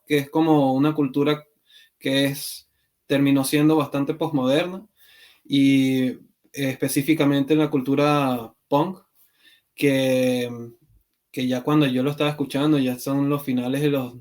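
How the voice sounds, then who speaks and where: Man, from Venezuela, podcast guest, hesitant, frequent fillers, mid pitch. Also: steady tone, normal speed, a little mellow. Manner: hesitant, many fillers and restarts. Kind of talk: conversational interview.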